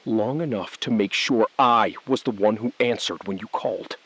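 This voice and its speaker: An actor playing a coolly complicit male bank insider in a scripted audio thriller, measured, evasive and precise. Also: lowish voice, a bit bright, husky, low-quality recording. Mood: controlled.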